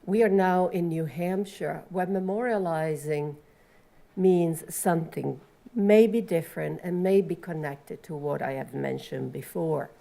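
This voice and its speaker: Low, gravelly Italian-accented woman. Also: a little slow, mellow. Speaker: moderator, professor. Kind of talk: panel introduction.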